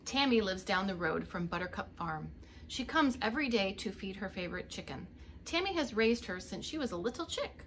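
Animated middle-aged woman reading to children. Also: mid-range voice, fairly quick, a little hiss or hum. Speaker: preschool teacher reading aloud. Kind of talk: read-aloud children's storytime with asides. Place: indoors, home living room.

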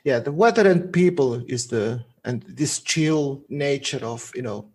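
A man from Portugal whose speech carries a Swiss German accent, his voice gravelly and a touch husky. He talks casually and haltingly as a podcast guest, often restarting a phrase before finishing it. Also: medium pitch, animated, a little mellow.

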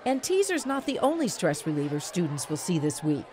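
Woman reporter voice-over, measured, lively pitch, upbeat. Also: a crowd in the background.

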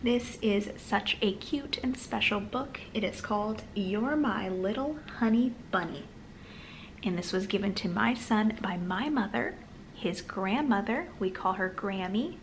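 A woman reading aloud to young children in a sing-song manner with gentle asides. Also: mid-range voice, unhurried, a little hiss or hum, rough recording. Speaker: children's storytime host and reader. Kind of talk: read-aloud storytime for toddlers, addressing young children. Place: small living room, on a sofa, indoors.

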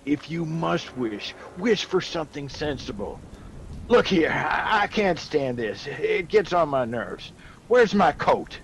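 A man's voice: fairly high pitch, very animated, slow, a bit bright, full character voice. An actor playing a shaken, fearful male guest giving grave warnings in a radio drama. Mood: grave, uneasy. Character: agitated, fearful old soldier guest warning of a curse. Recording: faint background noise and ambience.

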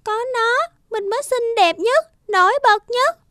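A woman's voice: animated, unhurried, dry recording, studio quality, full character voice. A woman voicing a vain, spoiled little girl in a cartoon fairy tale, childlike, whiny and theatrical. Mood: vain, sulky. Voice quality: childlike, high and bright. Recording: music behind the voice.

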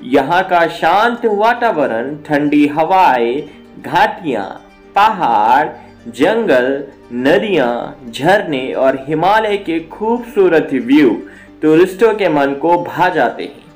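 Higher-voiced man narrating a travel guide. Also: takes it slowly. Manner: steady, inviting tour-guide delivery. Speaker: travel channel host. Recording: faint background music.

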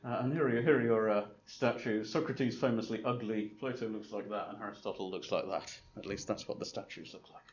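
A man's voice: on the low side, a little fast, few pauses. A man giving an informal lecture, rambling with many fillers and restarts, with dry humor. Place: classroom. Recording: roomy, distant, dull lo-fi room recording with faint hiss.

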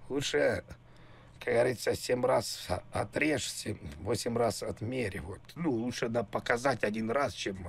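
A man in his fifties making a prank phone call, talking in a rambling, halting, insistent way full of innuendo. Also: medium pitch, gravelly, husky. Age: 50s. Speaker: prank caller. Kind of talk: casual phone prank conversation.

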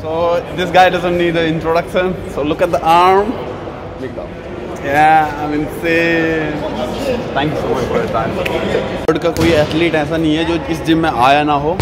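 A young Indian man excitedly vlogging on a handheld phone as he tours a big gym, switching between Hindi and English. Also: on the high side, fast talker, over a noisy crowd. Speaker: fitness vlogger. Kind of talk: casual vlog narration, touring a gym. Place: car and large gym. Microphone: handheld phone camera at arm's length. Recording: loud background noise.

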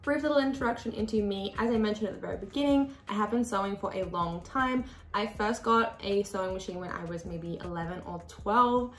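A young woman talks casually to camera from a small room, explaining sewing basics in a chatty, expressive way. Her voice sits at a middle pitch. The room gives her voice a noticeable echo, and faint music plays underneath. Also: brisk, few pauses. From Australia. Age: 20s. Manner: chatty, expressive hand gestures. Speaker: sewing tutorial host. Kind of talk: casual tutorial talk to camera.

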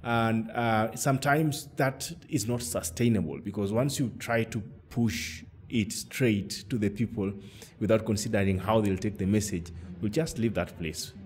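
A man hosting a podcast, talking in a measured, hesitant way with pauses. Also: fairly low pitch, darkish tone, a little hiss or hum. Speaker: podcast host. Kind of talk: conversational interview.

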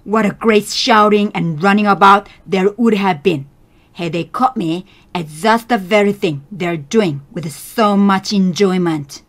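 Woman as a slow, sardonic muttering wolf. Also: medium pitch, lightly acted. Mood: indignant, sardonic. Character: sly, indignant lurking male wolf.

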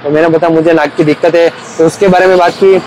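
A young man from Gujarat, a cricket fan, talking eagerly and haltingly in a casual outdoor street interview. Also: fairly high pitch, fairly even intonation, background noise. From Gujarat, India. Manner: eager, halting, with many restarts.

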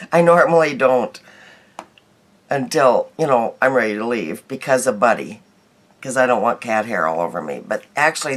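Hoarse middle-aged woman chatting about makeup. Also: a bass voice. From United States. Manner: chatty, homey, laughs easily, trails off. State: hoarse, losing her voice from a cold. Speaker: beauty vlogger. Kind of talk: casual daily makeup chat to camera. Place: small bedroom indoors.

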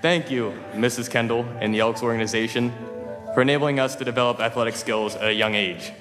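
A teenage boy speaks at a podium microphone on a large auditorium stage, with a dry, joking, lightly self-mocking delivery. His voice rings out with a long reverb. Soft music plays underneath him. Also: mid-range voice, fairly even intonation, fairly quick. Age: teens. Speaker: valedictorian giving graduation speech. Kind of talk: humorous speech at a podium. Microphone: podium microphone, filmed from far.

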